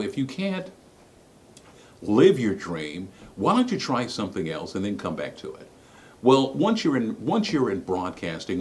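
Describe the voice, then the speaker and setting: Middle-aged male ex-broadcaster: low, smooth voice, highly varied intonation, earnest and emphatic personal appeal. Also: slight background noise. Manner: earnest, emphatic, repeats phrases for effect. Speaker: former radio broadcaster. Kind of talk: personal story and plea to camera.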